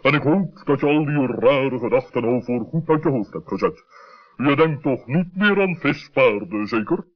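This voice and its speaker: A man's voice: medium pitch, lively intonation, natural voice. A man playing a fatherly, fussy owl in a children's radio play, solemn and admonishing, with hesitant hums. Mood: concerned. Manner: measured, humming hesitantly, admonishing. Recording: dull 1960s radio recording.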